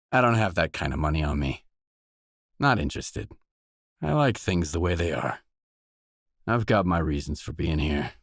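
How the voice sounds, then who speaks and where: A male actor playing a weary, tough, guarded stalker mercenary in a video game, speaking in blunt, deadpan lines. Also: sits low, dry recording, studio quality, exaggerated voice. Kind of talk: video game voice acting, isolated dialogue lines.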